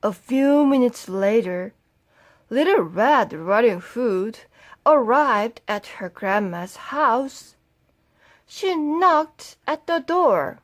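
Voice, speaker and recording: A woman narrating a fairy tale for children as a storyteller, in an expressive and deliberate manner. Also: fairly high pitch.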